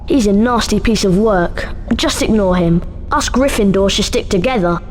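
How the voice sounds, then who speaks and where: A woman's voice: medium pitch, normal speed, big-room sound, a little hiss or hum, lightly acted. A woman voicing an eager, chummy young schoolboy wizard in a video game. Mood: encouraging.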